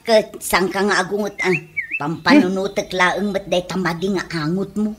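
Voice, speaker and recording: A woman's voice: mid-range voice, fairly even intonation, normal speed, background noise, close to an everyday voice. A woman playing a nagging, poor Ilocano housewife in a comedy radio drama, with an Ilocano accent and scolding, exclamatory delivery. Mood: grumbling.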